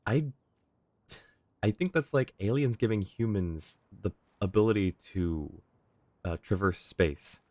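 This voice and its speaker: A man with a low voice comments casually on a game livestream. His manner is wry and informal, and the sound is close and dry. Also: a little slow, a little mellow, no echo. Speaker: game streamer.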